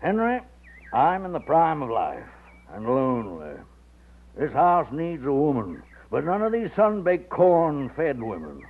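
A gruff texan colonel in his fifties, old radio play, voice swooping around, tinny phone-like sound. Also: a little high, huge pitch swings, heavily acted. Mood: insistent. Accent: American. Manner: blustery, bossy, drawn-out exclamations. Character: gruff, commanding fifty-year-old Texan colonel, blustery but fond. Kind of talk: old-time radio drama acting.

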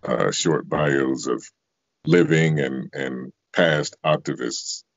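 An extremely low bass voice from a man in his fifties, speaking conversationally as an interview guest. The sound is dry and somewhat dull, like a lo-fi video call. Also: slow, gravelly, no room sound. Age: 50s. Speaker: interviewee, bass singer and voice actor. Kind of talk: reflective conversational interview answers. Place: indoor room, video call.

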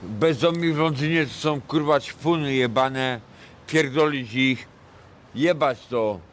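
A middle-aged man from Poland, high and rambling, swearing a lot in a casual talk on a city street at night. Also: takes it slowly, a little hiss or hum. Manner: crude and sweary, rambling, mixes in broken English. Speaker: homeless man interviewed on the street. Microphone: vlogger's handheld camera mic.

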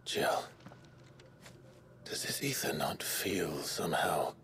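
Very deep, brooding warrior hero. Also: male voice, animated, takes it slowly, a bit bright, whispered, exaggerated voice. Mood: hushed, wary. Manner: terse, grave. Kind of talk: dramatic fantasy video game acting.

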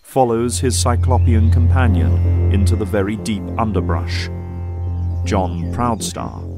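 Male narrator, low voice, scripted action-drama storytelling with music underneath. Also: measured pace. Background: music and effects.

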